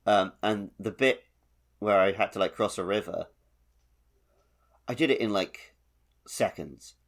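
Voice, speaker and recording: A man's voice: lowish voice, measured pace, gravelly. A man co-hosting a gaming podcast, chatting casually and informally. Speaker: podcast co-host. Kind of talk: casual video game podcast chat.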